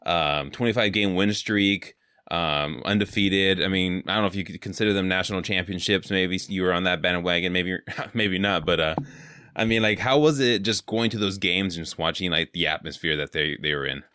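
A man's voice: deep voice, normal speed, pushed and bright, clear, resonant tone, no echo, studio quality. A young man from California hosting a podcast, chatting casually and rambling with lots of filler words. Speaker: podcast host. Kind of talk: casual interview chat.

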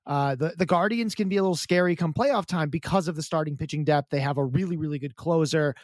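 A man with a fairly high voice talks in a chatty, lively way as a podcast co-host. He stumbles and repeats himself as he goes. The recording is close and dry. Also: fast, dry recording. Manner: chatty, animated, repeats words and restarts often. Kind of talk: casual sports chat.

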